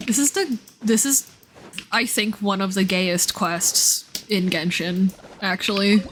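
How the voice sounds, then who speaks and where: A woman's voice: medium pitch, takes it slowly, a bit bright, slight background noise. A woman livestreaming a game in casual chat, with a giggly, playful manner, sarcastic asides and some swearing. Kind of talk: casual chat, reacting to game story while playing.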